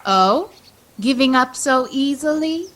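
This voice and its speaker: Slow, knowing female guide, acted by a woman. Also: high-pitched, expressive, a bit bright, exaggerated voice. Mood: knowing reproach. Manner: measured, coaxing, solemn. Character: wise, calm, knowing female guide from home. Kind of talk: radio play acting.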